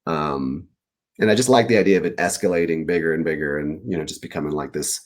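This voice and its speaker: A man talking casually as an author guest in an interview, animated and rambling as he pieces ideas together aloud. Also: a bass voice, quick pace. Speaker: children's book author, guest. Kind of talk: casual interview answers about writing.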